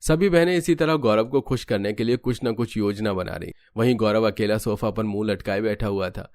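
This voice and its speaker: A man's voice: fairly low pitch, moderate pace, darkish tone, dry recording. A man giving scripted storytelling narration for a cartoon.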